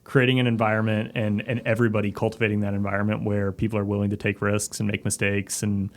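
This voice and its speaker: Low, gravelly-voiced man chatting casually. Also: level tone, fast talker. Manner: informal, reflective, peppers talk with "like" and "kinda". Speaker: podcast guest, company CEO and former submarine officer. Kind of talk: casual interview chat.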